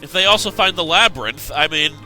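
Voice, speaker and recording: A young man who has just woken up, sounding congested, chats loosely through game updates. His voice is fairly high and very bright and sharp, and faint music plays underneath. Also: expressive, quick pace, rough recording. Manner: rambling, self-correcting, joking asides. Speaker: host giving game news. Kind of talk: casual commentary.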